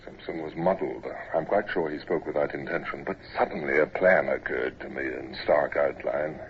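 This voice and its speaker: A deep-voiced reflective naval narrator. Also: male voice, steady tone, vocal fry. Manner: reflective, measured. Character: grown male naval officer recalling his youth. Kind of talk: 1940s radio narration. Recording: dull lo-fi old radio recording with faint hiss.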